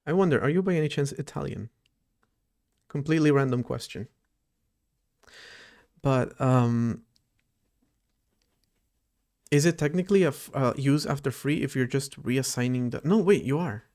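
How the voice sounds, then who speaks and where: A man's voice: medium pitch, normal speed, long pauses, a little mellow. A man streaming live while he programs, thinking aloud in a loose, rambling way and answering his chat as he goes. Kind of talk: casual livestream chat while coding.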